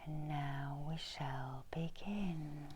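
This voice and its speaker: A middle-aged woman whispers a guided meditation in a breathy, soothing ASMR style, pausing often, as a healer would. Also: deep voice, background noise. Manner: slow, soothing, with long pauses. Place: small room indoors.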